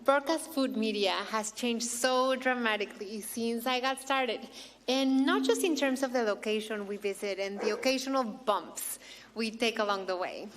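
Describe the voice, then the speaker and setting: A woman's voice: fairly high pitch, normal speed, slight background noise. A middle-aged woman with a Mexican accent, hosting an awards ceremony with a prepared speech and playful asides. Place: large hall. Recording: long reverb of a big hall.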